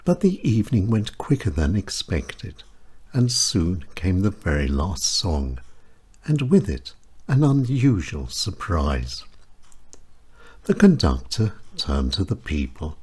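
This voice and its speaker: A man with a deep, slightly husky voice tells his own short stories for radio. He takes his time over each phrase, and his pitch rises and falls widely as he reads. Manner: measured, expressive delivery with wide swings in pitch. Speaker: storyteller and radio show presenter. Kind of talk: scripted short-story reading.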